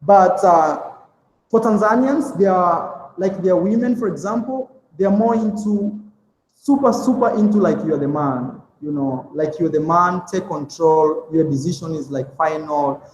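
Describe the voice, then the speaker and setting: A man's voice: high voice, unhurried, darkish tone, a little hiss or hum. A young man, a guest telling stories in a casual interview, rambling with lots of fillers and restarts. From Kenya. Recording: echoey room with long reverb.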